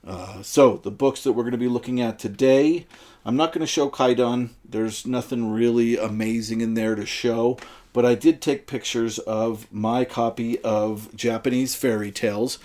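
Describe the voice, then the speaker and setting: A man's voice: medium pitch, fairly even intonation, normal speed, dark tone. A man presenting to viewers in an informal, explanatory way, with an enthusiastic manner. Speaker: video presenter on book history.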